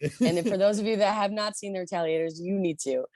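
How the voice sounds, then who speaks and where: A woman from the Detroit area, a rock singer with a low voice, chatting loosely in an interview with many restarts. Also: fast, a little mellow, no echo. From Detroit area, USA. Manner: rambling, many restarts and fillers. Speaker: rock band lead singer, guest. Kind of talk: casual interview chat.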